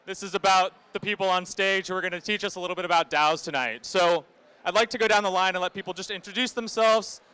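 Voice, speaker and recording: A man hosts a panel from a stage, talking to a live audience. His voice sits high for a man, with a bright, forceful edge and a crisp, clean tone. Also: fast talker, rough recording. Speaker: panel moderator. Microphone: stage microphone.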